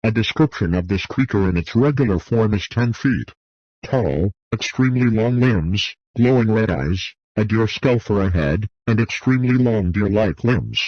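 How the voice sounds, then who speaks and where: A man reads a scripted emergency broadcast announcement in a formal, measured manner, as an alert announcer. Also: lowish voice, animated, no room sound, dull audio.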